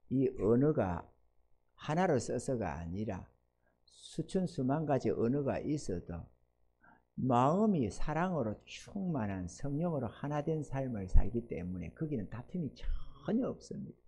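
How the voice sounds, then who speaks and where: Older male pastor, lively emphatic preaching. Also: mid-range voice. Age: 60s. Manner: swings from loud emphatic exhortation to soft quiet asides; asks the congregation for amens. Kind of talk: sermon.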